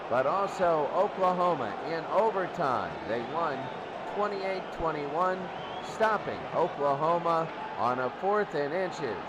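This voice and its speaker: Male sports commentator, fairly high-pitched, unscripted stat rundown, very noisy recording. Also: animated, measured pace. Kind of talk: unscripted statistics rundown before a game.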